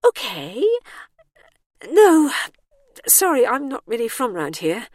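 A woman's voice: on the high side, very animated, takes it slowly, a little mellow, no room sound, clean studio sound, pitched above the performer's own voice, exaggerated voice. A woman voicing an awkward, apologetic but plucky woman adventurer in an audiobook, hesitant, wry and conversational. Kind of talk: audiobook character voice.

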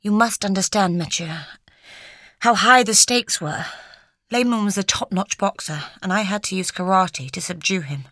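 A woman voicing a gentle, grave old woman who was once a secret agent, speaking in a measured way. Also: medium pitch, dry recording, studio quality, lightly acted.

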